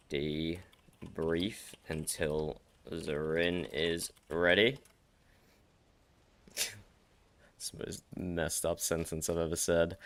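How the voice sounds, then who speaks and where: Deep-voiced man, freshly woken. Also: fairly bright tone. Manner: rambling, self-correcting, teasing. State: croaky from just waking up. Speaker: streamer host. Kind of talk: casual livestream chat. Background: faint background music.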